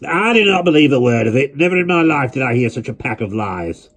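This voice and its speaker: A man's voice: medium pitch, lively intonation, normal speed, husky, pitched above the performer's own voice. A man doing a stern, proud old keeper. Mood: scornful. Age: older. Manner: cold and clipped, formal.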